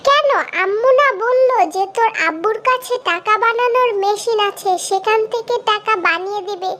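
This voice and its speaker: A very high, squeaky cartoon child, with a Bangladeshi accent. She is a cheeky, pushy little girl who chatters and whines insistently. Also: moderate pace, a bit bright. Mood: demanding. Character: pushy, cheeky young girl begging for Eid shopping money. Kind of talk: comic cartoon dialogue.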